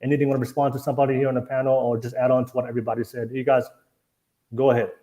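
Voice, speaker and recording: A man's voice: medium pitch, level tone, rapid-fire, dark tone. A man hosting a live panel, inviting his guests to respond. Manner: inviting, moderating. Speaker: host. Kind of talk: casual live panel discussion.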